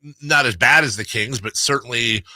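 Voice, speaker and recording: Very bright-voiced male radio host. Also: mid-range voice, moderate pace, dry recording, poor audio. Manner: rambling, builds long hypothetical questions. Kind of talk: casual radio interview.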